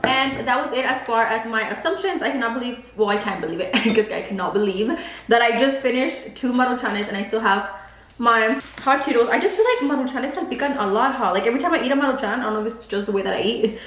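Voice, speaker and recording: A woman's voice: fairly high pitch, fast, fairly bright tone, roomy sound, a little hiss or hum. A woman in her twenties whose first language is Spanish, chatting casually on a vlog and saying "like" a lot. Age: 20s. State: pregnant. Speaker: beauty vlogger. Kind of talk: casual chat while eating.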